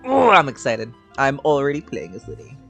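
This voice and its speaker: A woman's voice: very deep voice, wildly swooping pitch, normal speed, gravelly, soft background music. A woman streaming a game, giving casual, playful reaction commentary with informal murmured asides. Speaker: gaming streamer.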